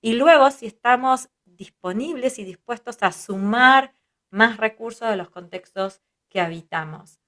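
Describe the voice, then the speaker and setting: A woman's voice: a little high, unhurried, a bit bright. A woman with an Argentine Spanish accent, whose first language is Hebrew, speaking as a guest psychologist in a reflective interview, thinking aloud and often adding tag questions. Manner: thoughtful, listing ideas with frequent tag questions.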